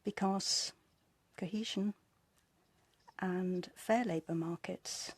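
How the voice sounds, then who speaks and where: A woman with a husky, gravelly voice, giving a measured, deliberate conference speech. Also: fairly low pitch, fairly even intonation, no room sound.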